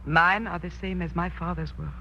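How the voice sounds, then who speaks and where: An actress playing a young, guarded royal ruler with a formal, measured manner in a 1940s radio drama. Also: female voice, fairly low pitch, steady tone, bright, forceful tone. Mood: earnest. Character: imperious, guarded young Rani of a mysterious kingdom. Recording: dull, noisy old radio recording.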